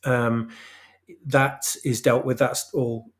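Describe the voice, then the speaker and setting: A man speaking as an author being interviewed, explaining casually in a halting but emphatic way, repeating phrases for stress. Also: medium pitch, flat delivery. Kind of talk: casual explanatory chat about own story.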